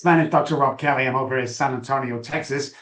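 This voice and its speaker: A man's voice: mid-range voice, steady tone, quick pace, fairly bright tone. Manchester-accented man, roomy sound. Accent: Mancunian. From England. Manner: chatty and self-assured, with frequent "uh" and "um". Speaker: guest, addiction doctor. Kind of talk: candid interview storytelling.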